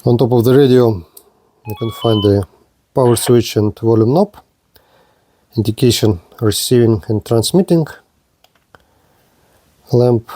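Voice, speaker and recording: A man giving an unscripted hands-on product demonstration as a reviewer, speaking haltingly in short, broken-up phrases. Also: mid-range voice, dark tone. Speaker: gadget reviewer presenting a radio.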